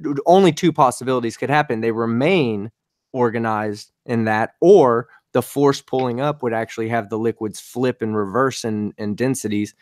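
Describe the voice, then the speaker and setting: A man's voice: mid-range voice, normal speed, no room sound, clean studio sound. A man reasoning aloud hesitantly in a casual online voice chat. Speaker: call guest.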